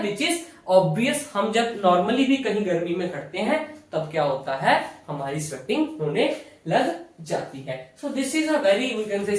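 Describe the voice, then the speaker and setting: Female Indian lecturer, didactic question-and-answer teaching style, mid-pitched, recorded from far off in a very reverberant room. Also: a little mellow. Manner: didactic, asks questions and answers them herself; mixes Hindi with English technical phrases. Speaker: physiotherapy lecturer. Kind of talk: explanatory classroom lecture. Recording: very echoey room, distant microphone.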